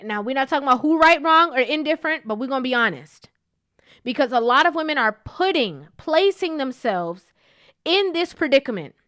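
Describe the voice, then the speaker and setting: A woman's voice: high-pitched, expressive, brisk, fairly bright tone, dry recording, studio quality. Stuffy-nosed woman giving frank commentary. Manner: emphatic, frank, checks in with "okay?". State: stuffy nose, sniffling. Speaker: commentary vlogger. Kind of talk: opinionated commentary.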